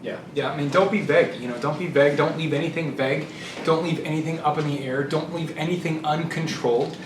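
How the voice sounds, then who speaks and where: A man's voice: on the high side, fast talker. A man giving conversational sales advice as a trainer and host, blunt and emphatic, repeating phrases for stress. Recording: echoey room, distant mic, noisy.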